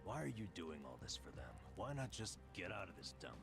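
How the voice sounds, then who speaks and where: An actor playing a guilt-ridden, world-weary man in a video game drama, gruff, blunt and jaded. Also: low voice, big pitch swings, fairly quick, full character voice. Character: bitter, guilt-ridden, world-weary man pushing his friend away. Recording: game music behind the voice.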